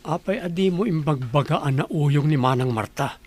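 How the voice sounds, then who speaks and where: A man's voice: on the high side, moderate pace, darkish tone, natural voice. An actor with an Ilocano accent playing a stern, questioning father and household boss in a radio drama.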